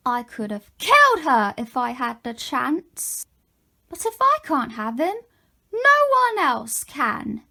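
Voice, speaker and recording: Woman playing a high, dramatic jealous widow. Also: sing-song, takes it slowly, creaky, bright, forceful tone, exaggerated voice. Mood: bitter confessing. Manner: theatrical, emphatic. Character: murderous, jealous older widow confessing in a murder mystery. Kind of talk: amateur audio drama acting.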